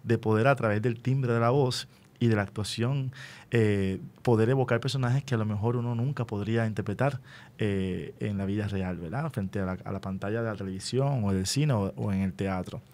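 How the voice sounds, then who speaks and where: A man with a Puerto Rican accent hosting an unscripted radio interview, speaking deliberately and often checking in with short rhetorical questions. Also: lowish voice, darkish tone. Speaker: radio host and school educator.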